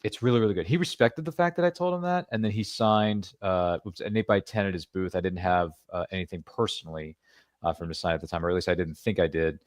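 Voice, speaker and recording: A man hosting a casual podcast chat, his voice sitting on the low side. He rambles along with playful asides. The sound is dry and close. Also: fast, no room sound. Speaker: podcast host.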